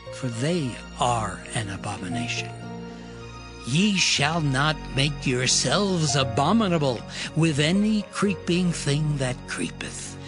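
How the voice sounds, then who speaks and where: A man plays a solemn male deity laying down holy law in an audio scripture drama. The delivery is very slow, measured and declamatory, at a slightly raised male pitch. Music plays clearly behind the voice.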